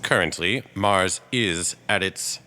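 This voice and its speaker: An actor playing a reserved, scholarly male astronomy professor in a radio drama, speaking in a halting, deliberate, formal way. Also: deep voice, pushed and bright, exaggerated voice. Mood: measured, grave. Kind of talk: radio drama acting in 1938 broadcast style.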